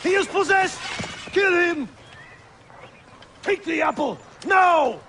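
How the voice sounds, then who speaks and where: An actor playing a power-hungry, vengeful villain who usurps an assassin order in a video game. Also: male voice, very high-pitched, animated, takes it slowly, fairly bright tone, slight background noise, exaggerated voice. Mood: enraged shouting.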